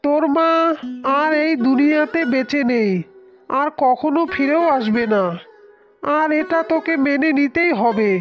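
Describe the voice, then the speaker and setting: Woman as a gentle, coaxing cartoon father, high-voiced. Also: muffled recording. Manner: consoling, coaxing. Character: gentle, coaxing father of a grieving little girl. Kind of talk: cartoon fairy-tale acting.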